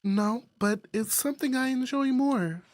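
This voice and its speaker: Woman playing a high-voiced, worried young daughter. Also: a little slow, a little mellow, no room sound. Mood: anxious, tender. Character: Michelle, worried, polite, dutiful adult daughter.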